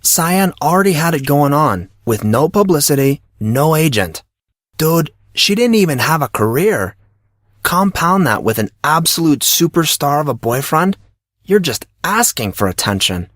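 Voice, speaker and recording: A man's voice: fairly high pitch, big pitch swings, unhurried, fairly bright tone, dry recording, clean studio sound, pitched above the performer's own voice, heavily acted. A man playing a cocky, breezy teenage schoolboy who talks in slangy, self-assured teasing for an audio drama. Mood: cocky, explaining. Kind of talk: audio drama character reading.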